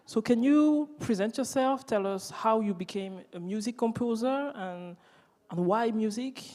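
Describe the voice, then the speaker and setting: A woman's voice: fairly high pitch, unhurried, mellow. Woman interviewing in a hall. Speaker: interviewer, translator. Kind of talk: casual live interview. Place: indoor event hall before an audience. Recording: reverberant hall.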